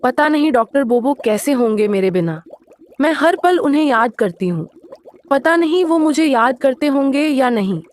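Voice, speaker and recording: A woman's voice: high voice, animated, moderate pace, a little hiss or hum. A woman playing a young, lovelorn mermaid daughter in a cartoon moral story, earnest and pleading. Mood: wistful.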